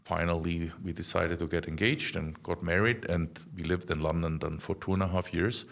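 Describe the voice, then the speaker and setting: A deep, gravelly-voiced man in his fifties with a German accent. He talks casually as a guest, pausing often and restarting his sentences. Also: fairly even intonation, a bit bright. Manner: halting, many fillers and restarts. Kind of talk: casual conversational interview.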